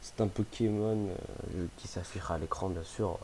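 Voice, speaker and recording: Young male gamer vlogger, low mellow voice, stuffy from a cold, faint hiss behind. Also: fairly even intonation, normal speed. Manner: hesitant, many fillers and restarts. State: has a cold, sniffly. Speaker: gaming youtuber. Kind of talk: casual vlog chat.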